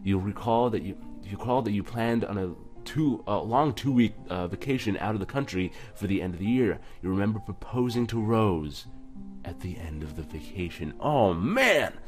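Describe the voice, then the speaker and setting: A man with a lowish voice hosts a casual let's play. He comments informally and stumbles a little when reading aloud. Faint music sits behind him. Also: lively intonation, moderate pace. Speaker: gaming video host.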